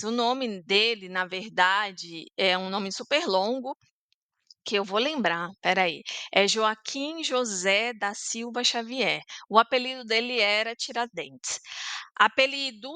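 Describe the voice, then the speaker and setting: A Brazilian woman teaching Portuguese in a chatty, patient way, explaining words slowly and stopping to check facts. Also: mid-range voice, pushed and bright, smooth, dry recording. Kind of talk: casual language lesson to camera.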